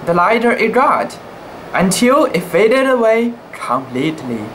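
A young man giving a dramatic children's book reading, expressive and cooing, with asides about the pictures. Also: sits high. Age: young adult. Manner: expressive, cooing, drawn-out words. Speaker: storybook reader. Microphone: camera at close range. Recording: steady background hum, outdoor noise.

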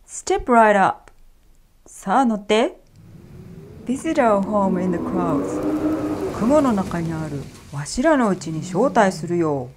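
A woman voicing a gruff, jolly father thunder spirit in a storybook reading, speaking in a hearty, friendly way. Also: mid-range voice, very animated, slow pace, pauses often, a little mellow, slight background noise, pitched below the performer's own voice. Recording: faint music behind.

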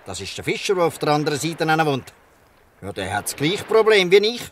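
A man's voice: fairly high pitch, very animated, brisk, slight background noise. An actor playing a fatherly, shrewd, bluff fisherman in a Swiss German radio play. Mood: kindly, persuasive. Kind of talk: Swiss dialect radio drama acting. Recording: slightly distant from the mic.

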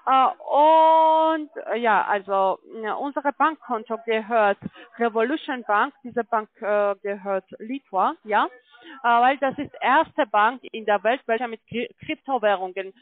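A non-native German-speaking woman with a foreign accent giving a telephone sales pitch as a call-centre agent, often checking in as she goes. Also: unhurried, no echo. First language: not German. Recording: muffled, tinny phone line.

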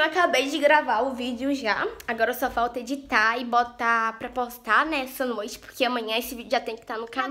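A woman's voice: a little high, fairly bright tone. A young woman from Brazil, chatting to her phone camera at home in a gushing vlog style and often calling out to her viewers, her nose stuffy from a cold. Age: young adult. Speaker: vlogger. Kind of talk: casual vlog chat to camera. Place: home rooms indoors. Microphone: phone camera at arm's length.

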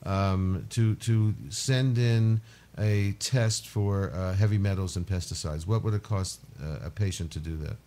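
A man's voice: low-pitched, unhurried, mellow. A man hosting a radio talk show from a home studio, asking questions with many fillers. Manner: filler-heavy, restarts questions. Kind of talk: interview questions.

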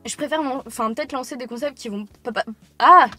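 A woman's voice: high voice, brisk, pushed and bright, faint music behind. An eighteen-year-old woman from France, talking casually to camera from a parked car, rambling with many fillers and restarts. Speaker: YouTuber. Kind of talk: casual talking-head vlog.

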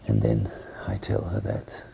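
A man telling a first-person story in a hushed, breathy whisper. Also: unhurried, a little hiss or hum, low-quality recording.

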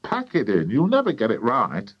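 A man voicing a sharp, scornful, nagging farmer's wife in a children's audiobook. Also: medium pitch, wildly swooping pitch, moderate pace, husky, lo-fi sound.

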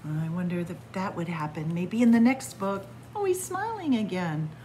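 A middle-aged woman reading a picture book aloud to children in an expressive, story-time manner. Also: fairly low pitch, moderate pace, slight background noise. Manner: expressive, addresses young listeners as friends. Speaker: library story-time reader. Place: indoors in a library.

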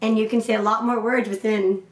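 A woman's voice: a little high, little pitch movement, fairly quick, distant mic. A woman in her twenties hosting a casual interview at home, chatty and bubbly as she talks about her singing lessons. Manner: bubbly and chatty, with a lot of laughter. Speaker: host, singing student. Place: indoor home room lined with CD shelves. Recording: roomy, a little off-mic.

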